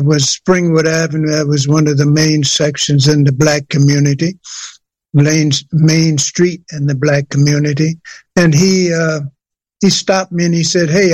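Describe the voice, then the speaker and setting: An older man from New Jersey, a singer, telling his story in an interview with many pauses and restarts. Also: fairly high pitch, a little mellow, dry recording. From USA, New Jersey. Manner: hesitant, many fillers and restarts. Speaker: guest singer.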